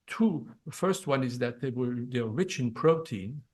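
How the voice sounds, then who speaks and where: An older man from Belgium, a retiring professor, presenting at a ceremony, speaking haltingly with many fillers and restarts. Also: medium pitch, animated, a little mellow, husky. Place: event hall.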